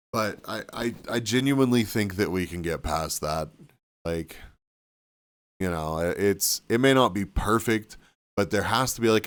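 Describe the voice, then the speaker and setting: A man with a deep, low voice talks loosely to his live stream chat, rambling and trailing off. He sounds sniffly and a little hoarse, like he's losing his voice. The sound is dry and close. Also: dry recording. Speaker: livestreamer. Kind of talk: casual chat with stream viewers. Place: cabin room.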